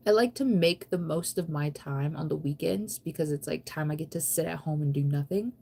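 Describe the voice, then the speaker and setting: A woman's voice: sits low, a little fast, creaky, dark tone, breathy edge. An American woman in her thirties chatting casually on a livestream, rambling and conversational with a playful, self-teasing manner. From United States. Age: 30s. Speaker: live streamer. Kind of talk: casual late-night livestream chat, reading and answering viewer comments.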